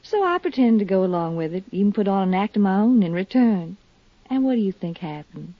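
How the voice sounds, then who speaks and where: A woman's voice: medium pitch, big pitch swings, brisk, no echo. An actress playing a poised, earnest, grieving young woman in a 1950s radio drama, confiding yet firm. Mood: frank, confiding. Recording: dull, dry 1950s radio recording.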